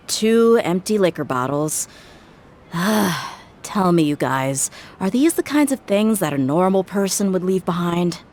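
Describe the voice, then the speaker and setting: A woman's voice: lowish voice, slight background noise. A woman playing a tough, blunt young mercenary in a video game, dry, frank and wry.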